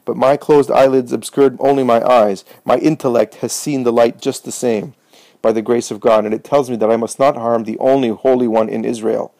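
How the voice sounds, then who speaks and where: A man's voice: medium pitch, fairly even intonation, moderate pace, creaky, warm tone, no echo, lightly acted, poor audio. A man voicing a stubborn, defiant young blind beggar who answers with bold, scornful retorts.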